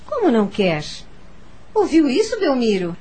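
High-voiced, fretful, long-suffering mother. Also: wildly swooping pitch, normal speed, warm tone, slightly rough, full character voice. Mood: fussing, insistent. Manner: fretful, emotional, lamenting. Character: anxious, long-suffering middle-aged mother of a sickly daughter. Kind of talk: radio drama acting.

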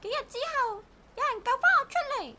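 A woman playing a chatty, bossy, proud talking parrot in a radio drama, with a shrill, squawky voice and a blunt, childlike manner. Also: expressive, fairly quick, noisy background. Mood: plaintive. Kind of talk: Cantonese radio drama acting.

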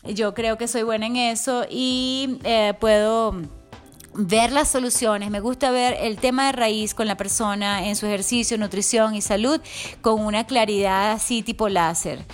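A woman's voice: on the high side, steady tone, measured pace, soft background music. A middle-aged woman hosting a wellness podcast, giving an enthusiastic, affectionate, chatty motivational monologue. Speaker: wellness coach podcast host.

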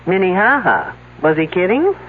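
A woman's voice: lowish voice, very animated, husky. Wisecracking woman detective, tinny old radio. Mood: skeptical. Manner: sardonic, brisk questioning. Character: wisecracking, skeptical, tough woman police detective. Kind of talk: 1970s radio drama acting.

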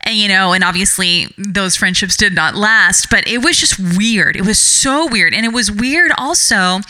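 A woman's voice: mid-range voice, moderate pace, bright, forceful tone, a little hiss or hum, rough recording. A middle-aged woman co-hosting a podcast with her daughter, talking earnestly and reflectively with many restarts and fillers. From Puerto Rico heritage, raised in the US. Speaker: podcast co-host, mother. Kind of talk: casual conversational podcast.

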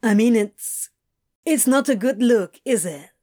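A woman's voice: a little high, animated, mellow. A woman voicing an awkward, laid-back male demon who stammers and trails off. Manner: halting, mumbling, stammering.

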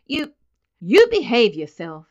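A woman's voice: medium pitch, sing-song, moderate pace, natural voice. A woman voicing a stern, bossy grown man scolding a relative in an audiobook, speaking emphatically. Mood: angry scolding. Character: stern, embarrassed grown son scolding his father. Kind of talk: audiobook character acting.

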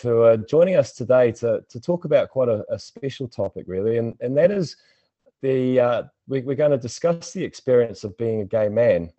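Man, podcast host, rambling casual talk, low pitch, dull lo-fi sound. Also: warm tone, dry recording. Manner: rambling, repeats words while searching for phrasing. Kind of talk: casual interview hosting.

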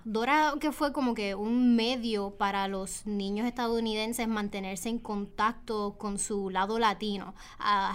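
A woman's voice: a little high, clear, resonant tone. A woman interviewer asking questions, mixing English phrases into her Spanish.

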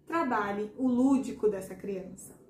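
Hoarse woman, echoey room. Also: mid-range voice, a little slow, darkish tone. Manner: earnest, addresses listeners directly. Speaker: podcast host and hypnotherapist. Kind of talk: casual podcast conversation. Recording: echoey room with faint background noise.

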